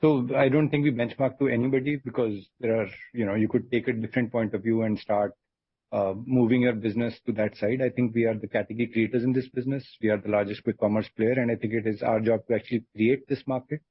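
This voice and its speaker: Male Indian executive, husky, explanatory, firm answers to analysts, dry lo-fi call sound. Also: medium pitch, steady tone, fast talker, no room sound. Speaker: company executive on an earnings call. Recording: dull, lo-fi conference call audio.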